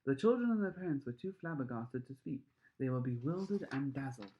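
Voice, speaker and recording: A man reading a children's storybook aloud with expressive, emphatic narration. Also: medium pitch, brisk, muffled recording, a little hiss or hum. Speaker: story reader.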